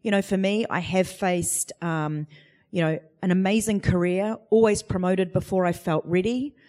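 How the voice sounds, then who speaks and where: A woman speaks on a live panel before an audience. Her voice sits low for a woman, and she talks fluently, earnestly and reflectively. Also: steady tone, normal speed, darkish tone. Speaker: tech executive on a panel.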